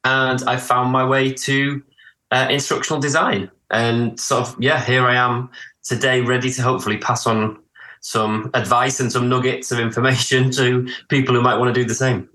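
A man's voice: medium pitch, level tone, normal speed, pushed and bright, roomy sound, rough recording. A man in his thirties from northeast England with a northern English accent, a podcast guest talking casually and hesitantly, with frequent restarts. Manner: hesitant, many restarts and "sort of". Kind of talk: casual interview talk.

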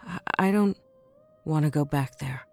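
A woman's voice: sits low, moderate pace, darkish tone, faint music behind, close to an everyday voice. A woman playing a bitter, wounded human outcast in a science fiction audio drama, brooding and confessional. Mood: grieving, bitter.

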